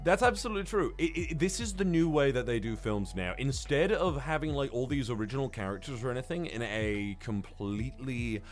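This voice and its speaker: A man's voice: mid-range voice, animated, fairly quick, few pauses, soft background music. A man hosting a podcast in casual film review chat, talking in a rambling way with plenty of swearing. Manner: profane, rambling. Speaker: podcast host.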